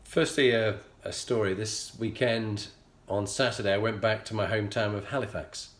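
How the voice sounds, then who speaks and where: A man's voice: lowish voice, steady tone. Earnest Yorkshire man in an echoey room. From England. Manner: earnest, measured, pausing often. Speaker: leadership coach and show host. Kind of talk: reflective personal storytelling.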